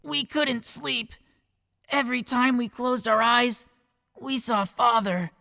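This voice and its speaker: A woman's voice: fairly high pitch, fairly even intonation, slow pace, creaky, pushed and bright, no room sound, studio quality, full character voice. A woman voicing an earnest young male hero in an audio drama. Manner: earnest, pleading.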